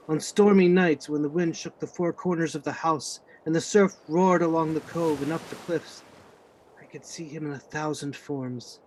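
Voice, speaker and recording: A man playing a grave narrator recalling his boyhood adventure, with measured dramatic radio play delivery. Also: a little high, a little hiss or hum, close to an everyday voice, poor audio. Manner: measured, literary storytelling.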